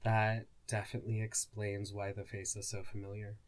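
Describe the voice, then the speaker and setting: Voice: deep voice, completely flat delivery, moderate pace. A person of androgynous-sounding voice chatting casually on a podcast, reacting and sharing opinions. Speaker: podcast co-host or guest. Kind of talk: casual conversational reactions and anecdotes.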